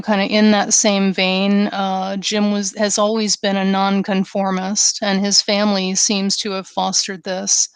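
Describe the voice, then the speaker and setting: A woman co-hosting a podcast, talking casually and earnestly with many fillers and pauses. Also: medium pitch, smooth, no room sound. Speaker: podcast co-host.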